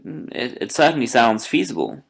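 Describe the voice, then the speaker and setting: An actor playing a detective's attentive companion and narrator in a dramatised audiobook, with polite, measured, formal period diction. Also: male voice, mid-range voice, big pitch swings, creaky. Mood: attentive, curious.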